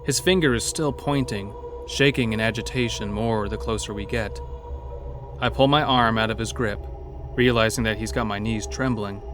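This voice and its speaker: A man's voice: lowish voice, normal speed. A man narrating a scripted first-person horror story in a dramatic storytelling manner. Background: background music.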